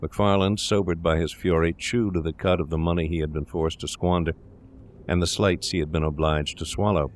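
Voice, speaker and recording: A man's voice: deep voice, steady tone, moderate pace. A man reading scripted literary narration as the narrator of a gothic tale. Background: eerie ambience.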